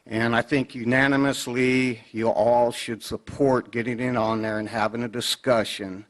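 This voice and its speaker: A man's voice: medium pitch, level tone, normal speed. Man urging a board at a podium. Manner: plain and urging, with frequent fillers. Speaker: public commenter. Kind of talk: public comment at a county board meeting. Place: public meeting chamber. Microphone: podium microphone.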